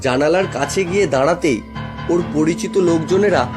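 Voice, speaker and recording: A man's voice: fairly high pitch, normal speed. A man reading scripted narration as the storyteller of a horror cartoon tale. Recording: music bed behind voice.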